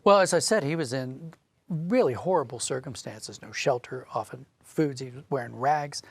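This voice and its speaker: A man's voice: medium pitch, gravelly, no echo. A man speaking as an interview guest, telling a story conversationally in an explanatory, emphatic way.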